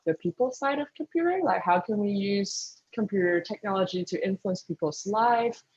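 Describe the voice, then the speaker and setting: A speaker answers interview questions at a mid pitch, thinking aloud with frequent ums and restarted sentences. The audio sounds dull and lo-fi. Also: expressive, normal speed, husky. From Taiwan. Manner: thoughtful, lots of ums and restarts. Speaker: guest speaker, engineering manager.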